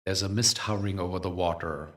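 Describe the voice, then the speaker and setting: A man leading a guided meditation as the teacher, soothing and unhurried, with measured phrasing and long pauses. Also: sits low, distant mic.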